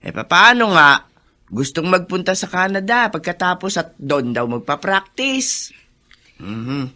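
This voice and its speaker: Radio drama role: older female housekeeper, encouraging and expressive, swooping intonation, forward bright tone. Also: lowish voice, measured pace, exaggerated voice. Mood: chatty, animated. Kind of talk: Filipino radio drama acting.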